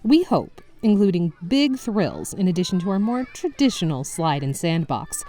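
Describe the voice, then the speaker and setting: Woman as a mellow, earnest kindergarten owner. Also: on the low side, big pitch swings, moderate pace. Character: earnest female kindergarten owner giving a business pitch. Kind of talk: scripted press statement.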